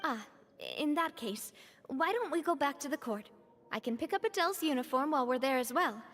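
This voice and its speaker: High-voiced, poised young lady boss. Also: expressive, a little fast, a bit bright, slight background noise. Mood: friendly. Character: poised, kindly young female boss, upbeat and considerate. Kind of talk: video game voice acting.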